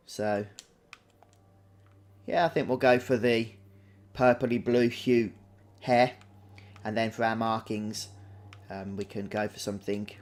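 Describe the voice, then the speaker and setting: A man giving chatty, unhurried Let's Play commentary, explaining his choices aloud. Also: mid-range voice. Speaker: gaming video host.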